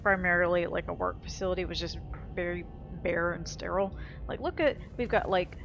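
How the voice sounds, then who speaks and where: A woman's voice: on the low side, fairly quick, creaky, lo-fi sound, soft background music. A woman giving informal gameplay commentary, thinking aloud as she explores. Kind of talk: casual game commentary.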